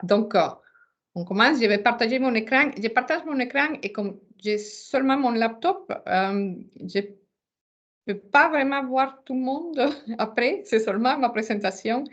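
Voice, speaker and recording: Slow, halting woman with a foreign accent. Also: on the high side, fairly bright tone, lo-fi sound. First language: not French. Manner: halting, searching for words, repeating words. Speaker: webinar presenter. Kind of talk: informal slide presentation.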